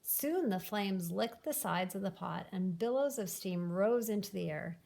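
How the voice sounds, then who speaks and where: Female storybook narrator, mid-pitched with noticeable vocal fry, for a children's folktale. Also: lively intonation, unhurried, darkish tone. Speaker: storybook reader.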